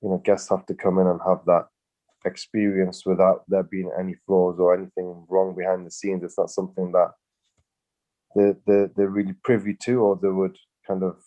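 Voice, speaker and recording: A man with a fairly low, mellow voice talks through his thoughts in a loose, rambling way. He stops and restarts often and leans on fillers as he goes. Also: little pitch movement, normal speed, highs cut off. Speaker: co-host. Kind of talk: casual business chat.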